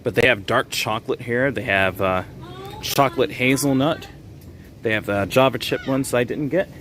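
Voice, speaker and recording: A man's voice: medium pitch, moderate pace, a bit bright, a little hiss or hum. A man hosting a casual livestream while shopping, chatting with viewers and reading their names aloud with easy fillers and small laughs. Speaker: livestream host. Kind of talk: casual live-stream chat while shopping. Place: grocery store, indoors.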